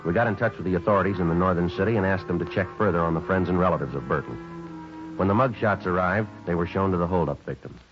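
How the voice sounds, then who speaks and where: A man playing a terse, businesslike police detective and narrator in a 1950s radio drama, deadpan and matter-of-fact. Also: low-pitched, fast, over music, lightly acted. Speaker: narrator and lead actor. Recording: dull old 1950s radio recording.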